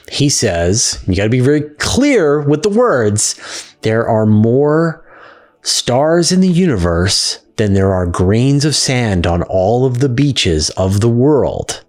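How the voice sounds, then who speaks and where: A man's voice: medium pitch, a little mellow. Man casually explaining as show host. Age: middle-aged. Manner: conversational, explanatory, emphasizing key words. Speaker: host of a space science question show. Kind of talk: casual explainer answering audience questions.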